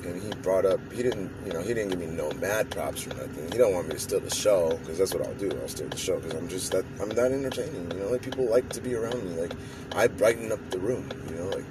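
A young man with a deep baritone voice, talking in a sarcastic, self-deprecating ramble full of fillers and restarts. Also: expressive, quick pace, distant mic, noisy background. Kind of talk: rambling casual monologue to viewers.

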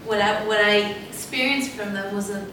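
A woman in a lecture audience asks a question, hesitant and restarting often. She is far from the mic in a very echoey hall. Clear background noise runs under her. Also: mid-range voice, steady tone, normal speed. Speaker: audience member asking a question.

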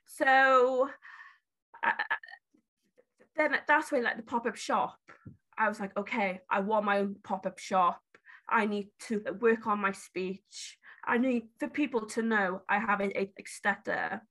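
A woman's voice: medium pitch, measured pace, a bit bright, poor audio. A woman who stutters heavily, with many repeats and restarts, talking candidly in an interview about her beauty brand. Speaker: guest, beauty brand founder.

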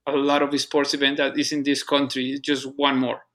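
A man from Colombia with a Colombian Spanish accent, a native Spanish speaker, answering as an interview guest in earnest, halting speech that repeats words. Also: medium pitch, monotone, fairly bright tone. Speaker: guest, soccer club operations manager. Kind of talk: casual interview conversation.

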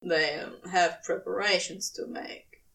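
A woman's voice: on the low side, steady tone, takes it slowly, gravelly, bright, forceful tone, distant mic, pitched below the performer's own voice, heavily acted. A woman voicing a laid-back, tender male supernatural being in an audio story. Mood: reluctant, teasing.

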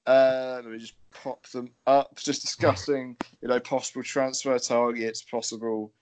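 A young man co-hosting a podcast, firing off opinions in quick, lively bursts. His voice sits at an ordinary middle pitch. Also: a little hiss or hum. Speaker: podcast co-host. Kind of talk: casual chat.

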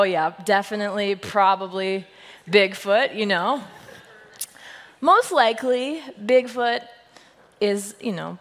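A woman in her thirties, a senior pastor with a husky voice, giving a playful, joke-filled sermon to her congregation. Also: medium pitch. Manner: playful storytelling, self-deprecating jokes, lively quoted voices. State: husky voice today. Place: church sanctuary. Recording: long reverb of a large hall.

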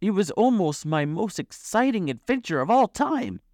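A man's voice: high-pitched, very animated, normal speed, darkish tone, no echo, studio quality, pitched above the performer's own voice. A man voicing a self-important, adventurous older uncle creature in a storybook, dramatic and boastful. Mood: blustery, boastful.

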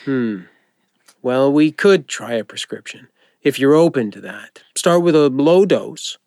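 A man playing a friendly, informal doctor who gently encourages and reassures a patient in an audio drama. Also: medium pitch, lively intonation, a little slow, dry recording, clean studio sound. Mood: concerned. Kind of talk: naturalistic audio drama acting.

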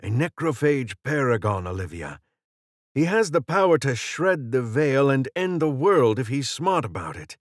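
A man's voice: mid-range voice, very animated, moderate pace, no echo, studio quality, pitched above the performer's own voice, natural voice. A man voicing an aging British council leader, articulate, formal and wry, in a fantasy audiobook. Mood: grave, concerned.

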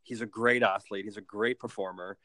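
A man co-hosting a casual wrestling podcast, a little raspy and phlegmy from a cold, talking as an eager fan. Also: lowish voice, fairly even intonation, moderate pace, bright, forceful tone, no room sound, clean studio sound. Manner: enthusiastic fan talk, fillers. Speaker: podcast co-host. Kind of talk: casual chat.

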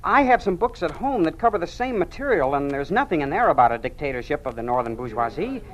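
An actor playing an earnest, questioning young college student in an old-time radio drama. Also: male voice, on the high side, very animated, fast talker. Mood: hesitant, insistent. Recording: noisy old radio recording.

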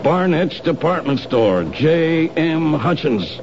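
A man's voice: fairly high pitch, sing-song, takes it slowly, background noise. A man playing a dry, amused police lieutenant questioning a witness in a 1970s radio drama. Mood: wry. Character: wry male police lieutenant. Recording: dull lo-fi old radio broadcast.

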